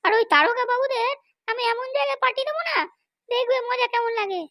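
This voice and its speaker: A man's voice: extremely high pitch, quick pace, sharp tone, dry recording, studio quality, pitched above the performer's own voice, full character voice. A man voicing a bossy, scheming woman politician in an amateur comedy cartoon. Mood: boastful, vengeful. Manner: boastful, commanding.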